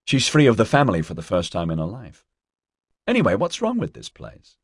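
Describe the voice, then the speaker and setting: Man playing a confident investigator, husky and lively. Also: lowish voice, fairly quick, no echo, clean studio sound, voice pushed up, full character voice. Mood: teasing, debating. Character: male investigator, confident, teasing yet polite.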